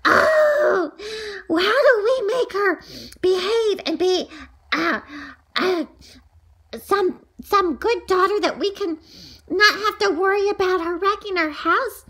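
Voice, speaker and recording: A woman's voice: extremely high pitch, takes it slowly, fairly bright tone, pitched above the performer's own voice, full character voice. A woman voicing an exasperated parent in a children's story, wailing and flustered, stumbling over the words. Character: exasperated, fretting parent of a wild daughter.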